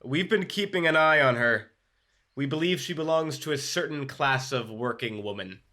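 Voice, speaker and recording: A man's voice: medium pitch, lively intonation, moderate pace, pushed and bright. A man playing a condescending police inspector in a radio drama, sardonic and patronizing, drawing out his words for emphasis. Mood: insinuating. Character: smug, condescending male police inspector, prying and insinuating. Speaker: actor in a radio play.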